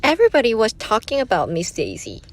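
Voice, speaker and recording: A woman reading a children's story aloud in an expressive, animated way that suits dialogue between characters. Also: a little high, quick pace. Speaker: read-aloud narrator.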